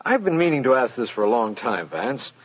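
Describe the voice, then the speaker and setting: Husky, puzzled district attorney. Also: male voice, mid-range voice, very animated, fairly quick. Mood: sheepish, admiring. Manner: formal, questioning, plodding. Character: earnest, slightly baffled male district attorney, deferential. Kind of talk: old-time radio mystery acting. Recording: muffled vintage radio recording.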